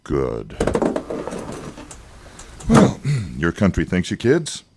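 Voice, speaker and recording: An actor in a radio drama playing an evasive, persuasive government agent, smooth-talking and patronizing, with hesitations. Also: male voice, deep voice, wildly swooping pitch, very slow, very noisy, exaggerated voice. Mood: insistent, smug.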